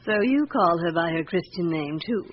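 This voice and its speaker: An actress playing a brittle, sharp-tongued wife and mother in a radio drama, suspicious and easily affronted. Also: fairly low pitch, expressive, normal speed, close to an everyday voice. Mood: impatient. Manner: sharp-tongued, indignant, haughty. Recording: dull, noisy old radio recording.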